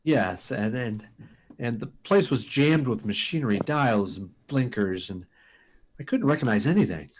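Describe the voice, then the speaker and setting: An actor playing a desperate, insistent rocket pilot in an old-time radio science fiction drama, speaking in halting, urgent bursts. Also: male voice, medium pitch, lively intonation, slight background noise, lightly acted.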